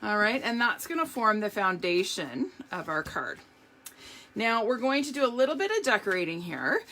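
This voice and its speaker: A hoarse woman hosting a live craft demonstration, chatty and upbeat, greeting viewers by name as she works. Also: mid-range voice, very animated, moderate pace, sharp tone, slight background noise. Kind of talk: live craft demo chat.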